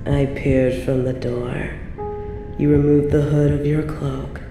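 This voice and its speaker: A woman playing an evil, possessive medieval queen in a roleplay audio drama, haughty and theatrical with drawn-out, breathy asides. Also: deep voice, flat delivery, reverberant, over music. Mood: smitten, teasing. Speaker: voice actor in a scripted roleplay audio.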